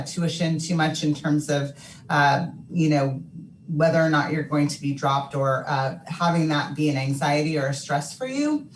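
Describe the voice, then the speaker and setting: A woman from a student finance office answering a question on a panel in a reassuring way, with many fillers and some throat clearing. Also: low voice. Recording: echoey room, distant mic, faint noise.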